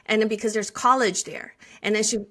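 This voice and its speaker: A woman's voice: medium pitch, fairly even intonation, a little fast, a bit bright. Chinese-accented woman telling her story. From China. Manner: frequent restarts and filler words, earnest storytelling. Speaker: guest. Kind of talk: conversational interview.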